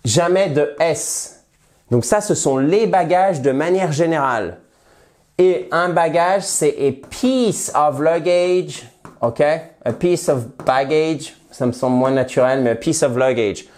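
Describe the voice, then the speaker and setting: A man teaching English phrases in French, with a head cold, lively and friendly, slowing down to model the English. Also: fairly high pitch. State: has a cold, slightly nasal voice. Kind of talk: bilingual language lesson at a whiteboard.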